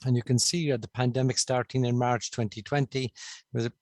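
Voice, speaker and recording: Cork Irish man, middle-aged, presenting by video call on a headset mic; dry sound, mid pitch, steady with ums. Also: no echo. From Ireland. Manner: measured, with frequent uh and um fillers. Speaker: stroke consultant giving a talk. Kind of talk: remote conference presentation. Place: indoor room, on a video call.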